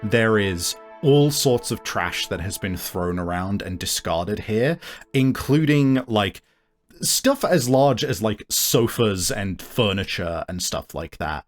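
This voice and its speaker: A man improvising narration as game master of a tabletop game, in a halting, conversational way. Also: fairly low pitch, expressive. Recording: music behind the voice. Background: music.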